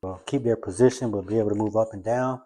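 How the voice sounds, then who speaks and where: A man's voice: on the low side, steady tone, fast, warm tone. Casual man talking through shop work. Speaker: host of a car-build video channel. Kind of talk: casual how-to vlog narration while working.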